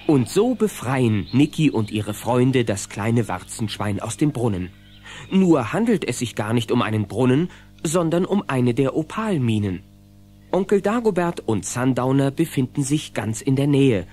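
A man's voice: medium pitch, very animated, a little slow, darkish tone, soft background music. A man narrating a German children's adventure radio play as the storyteller. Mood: storytelling.

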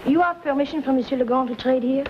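An eager young trapper's daughter, played by a woman in an old Western, practical and high-pitched. She, under heavy soundtrack noise. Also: fast talker, highs cut off, close to an everyday voice. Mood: curious. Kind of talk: 1950s Western screen acting. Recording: very noisy vintage film soundtrack.

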